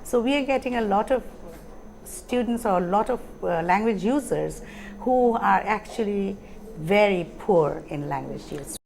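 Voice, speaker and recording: A woman's voice: mid-range voice, measured pace, vocal fry, a little mellow, soft background music. A woman from Bangladesh speaking earnestly in an interview about language teaching. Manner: earnest, explanatory. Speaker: conference speaker.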